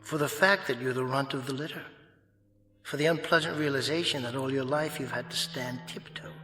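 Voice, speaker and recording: An actor playing a smug, sly tempter spirit in a radio drama, taunting in a silky, formal, theatrical manner. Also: male voice, medium pitch, brisk, natural voice. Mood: needling, smug. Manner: mocking, silky, formal, goading. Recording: echoey hall reverb, music underneath.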